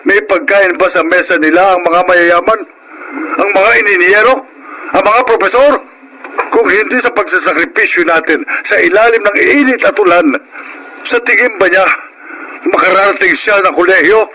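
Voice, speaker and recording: Indignant Filipino father, middle-aged farmer with dignity, piercing forceful tone, slight background noise, radio drama. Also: high voice, moderate pace, poor audio. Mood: wounded pride. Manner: forceful, righteous, rhetorical questioning.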